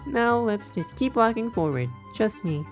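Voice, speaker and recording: Lively, teasing young love interest. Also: female voice, a little high, measured pace, soft background music. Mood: playful, teasing. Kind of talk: visual novel game acting.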